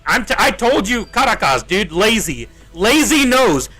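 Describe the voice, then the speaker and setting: A young man with an Australian accent rants to his chat while he plays, circling back over the same complaint and swearing. His voice is sharp and fairly high, picked up on a desk mic with quiet game music underneath. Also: sing-song, unhurried. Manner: rambling, exasperated, swears, repeats himself. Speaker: gaming streamer. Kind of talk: casual livestream chat while playing.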